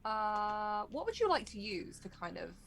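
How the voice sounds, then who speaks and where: Creaky-voiced woman running a tabletop game. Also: medium pitch, sing-song, measured pace, a bit bright, a little hiss or hum. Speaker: game master. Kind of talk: narrating a tabletop role-playing game.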